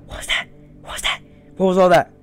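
A young man giving casual let's-play commentary, muttering and repeating his phrases. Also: fast. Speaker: gaming video host. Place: small room indoors. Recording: loud game audio over voice. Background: loud game music.

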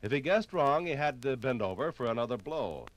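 A man narrating a scripted 1950s radio documentary in a measured, dramatic storytelling manner. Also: medium pitch, lively intonation.

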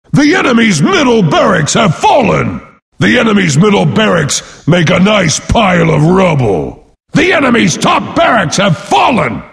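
A brash warrior brute announcing a video game battle. He bellows pompously at a raised, high pitch, drawing his words out slowly. Every line rings in a long, booming reverb. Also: vocal fry, a bit bright, full character voice. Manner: bellowing, pompous, speaks of himself in third person. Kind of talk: bombastic game announcer lines.

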